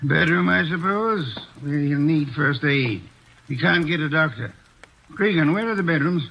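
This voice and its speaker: An actor playing a portly, fastidious male detective in a vintage radio drama, precise and pompous. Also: a little high, lively intonation, creaky, a little hiss or hum. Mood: dry, deductive. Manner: precise, pompous, coolly logical. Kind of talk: 1940s radio acting. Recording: dull lo-fi old radio recording.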